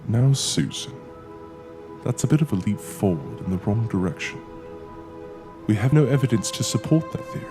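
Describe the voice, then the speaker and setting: A man playing a troubled, stubborn investigator in a period horror audio drama, speaking in a measured, formal and serious way. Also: fairly low pitch, sing-song. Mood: puzzled, troubled. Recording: music playing under the voice. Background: music.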